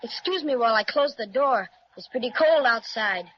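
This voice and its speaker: A woman's voice: a little high, lively intonation, normal speed, slight background noise, close to an everyday voice. A woman voicing a gracious, welcoming elf. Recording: muffled 1930s radio recording.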